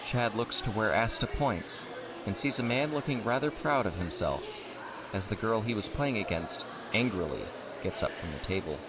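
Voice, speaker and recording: A man narrates a scripted audio drama. His voice is somewhat low, and busy noise sits clearly behind it. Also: animated, normal speed. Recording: noisy background ambience.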